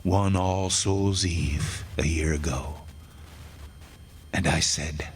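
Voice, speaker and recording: A man acting a condemned man who wanders among ghosts, narrating a radio drama in a slow, solemn way. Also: extremely low pitch, a little hiss or hum. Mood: haunted. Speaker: radio play actor. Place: stage performance.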